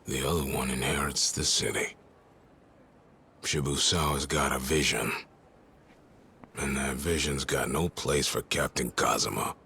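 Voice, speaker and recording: An actor playing a cocky gangster rival in a video game cutscene, talking low and mocking in a husky half-whisper. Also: male voice, steady tone, measured pace, pauses often, sharp tone, a little hiss or hum, full character voice. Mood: taunting. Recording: game audio with music behind.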